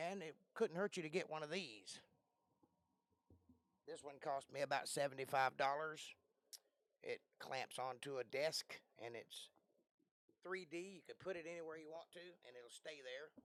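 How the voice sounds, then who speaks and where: A man with a raspy, worn voice that he is losing hosts a casual livestream from a cramped bedroom. He talks into a close desk mic that leaves the sound dry, and he rambles while often clearing his throat. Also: a little high, measured pace, long pauses, a bit bright, no echo. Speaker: livestream host. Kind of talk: casual live-stream chat. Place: small bedroom. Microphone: close desk microphone.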